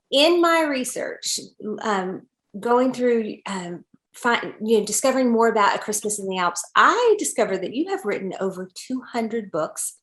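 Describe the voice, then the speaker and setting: A woman's voice: on the high side, fairly bright tone. A woman hosting a podcast interview, recorded in an echoey room. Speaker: podcast host.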